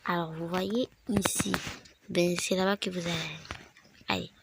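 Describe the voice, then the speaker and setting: A woman's voice: fairly low pitch, fairly even intonation, measured pace, noisy background. A woman with a head cold, rambling through a casual game tutorial and dropping into whispered asides. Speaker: gaming video creator.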